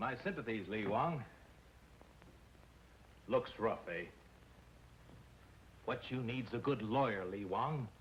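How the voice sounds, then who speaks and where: A man's voice: medium pitch, expressive, slow, pauses often. An actor playing an oily, falsely sympathetic townsman in an old television western, folksy and insinuating. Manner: folksy, insinuating, mock-apologetic. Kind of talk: 1950s television western acting. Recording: faint noise on old soundtrack.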